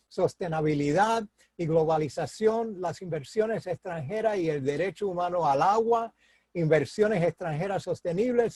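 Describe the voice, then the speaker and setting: Hombre entrevistador de voz aguda. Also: male voice, a little high, warm tone, no room sound. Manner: reads a long guest biography, stumbling and joking at himself. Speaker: interviewer. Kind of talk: formal introduction and interview questions.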